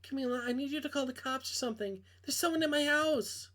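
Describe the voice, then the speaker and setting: Terrified young woman, played by a male reader, high-pitched, breathless, desperate; visual novel horror. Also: fast talker, sharp tone. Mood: alarmed hissing. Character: frightened, wounded young woman, panicked and desperate. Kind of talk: visual novel character reading.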